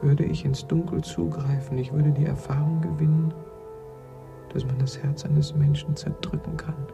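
Creaky, anguished trapped man. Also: a little high, flat delivery, over music. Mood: hushed horror. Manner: theatrical, intense, halting delivery. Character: anguished, tender man trapped in a dark crypt. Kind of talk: German radio drama acting.

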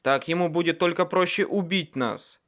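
A man's voice: fairly high pitch, normal speed, a bit bright, pitched above the performer's own voice, close to an everyday voice. A man playing an exasperated, sardonic wizard in a storybook, urgent and exclamatory. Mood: urgent warning.

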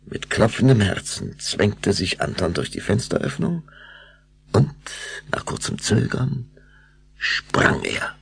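A man's voice: on the low side, big pitch swings, slow, gravelly, breathy edge. A man narrating a children's radio play as a theatrical, suspenseful storyteller. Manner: suspenseful, theatrical, shifting to whispers.

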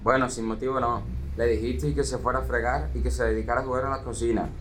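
A man's voice: medium pitch, flat delivery, fast, a bit bright, a little hiss or hum, lightly acted. A teenage male student with an Andalusian accent playing a principled, reproachful young footballer in an amateur radio play. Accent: Andalusian Spanish. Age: teens. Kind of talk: amateur radio drama acting.

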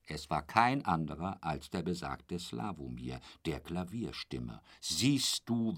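A boastful travelling salesman in a radio play. His low, husky voice swoops about wildly as he rambles on, chummy and crude, often sinking into a breathy murmur. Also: heavily acted. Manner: chatty, rambling storyteller with a pet polite phrase, correcting himself. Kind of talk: German radio drama acting.

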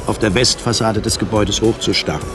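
A man narrating a scripted radio drama in a measured, literary storytelling manner. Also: fairly low pitch, fairly even intonation, music in the background.

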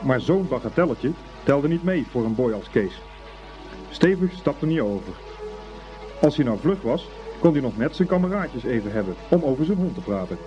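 A man narrating a scripted story for a Dutch radio play in an expressive, unhurried storytelling manner. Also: medium pitch. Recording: dull old radio recording, faint music.

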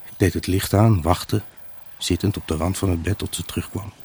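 A brooding, troubled husband in a radio drama. His voice is low and husky, with a rough grain. He recounts his unhappy marriage as an intimate dramatic monologue. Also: steady tone, moderate pace, darkish tone, full character voice. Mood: reflective, weary. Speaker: actor narrating a radio drama. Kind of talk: dramatic first-person monologue in a Dutch radio play.

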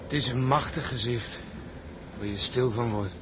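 A man's voice: medium pitch, moderate pace, noisy background, natural voice. Weary, grumbling seafarer, played by a man. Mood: subdued. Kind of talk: radio drama acting.

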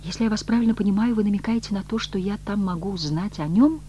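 A man's voice: sits high, fast, mellow, slight background noise, voice pushed up, close to an everyday voice. A man voicing a proud, unhappy woman in an audiobook, emotional and wary, moving between indignation and pleading. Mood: agitated.

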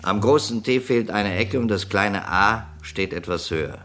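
A man's voice: on the low side, moderate pace, sharp tone, faint music behind. A man playing a shrewd, methodical police detective in a German crime radio play, speaking precisely and insistently with an accusatory edge. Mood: methodical, pressing. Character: shrewd, methodical male police detective confronting a murder suspect.

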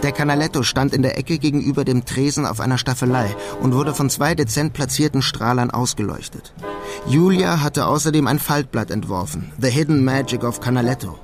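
An actor playing a wry thief who narrates his own heist in a German radio crime drama, measured and deadpan. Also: medium pitch, lightly acted.

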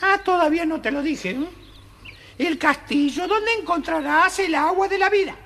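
Squeaky, very high little dwarf jester. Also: moderate pace, a bit bright. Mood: instructing. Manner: exclamatory, bossy, teasing. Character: cheeky bossy little forest dwarf jester with magic. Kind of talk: children's audio story acting.

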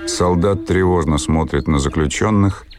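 A man's voice: low-pitched, moderate pace. A man reading scripted narration for a radio drama. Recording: loud music under the narration.

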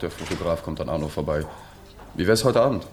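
Low-voiced, sober male journalist. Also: animated, brisk, a little hiss or hum. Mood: tentative, inquiring. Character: reserved male journalist, soberly observing and gently questioning. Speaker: narrator and reporter figure. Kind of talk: radio play narration and dialogue.

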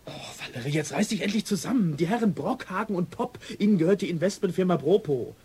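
An actress playing a brisk, no-nonsense police colleague in a radio crime drama, urgent and matter-of-fact. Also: female voice, fairly low pitch, lively intonation, mellow, husky.

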